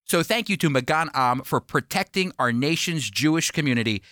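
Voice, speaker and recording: A man's voice: on the high side, animated, fairly quick, a bit bright, no echo, studio quality. An American man hosting a radio Bible teaching program, speaking in an earnest, emphatic, conversational way.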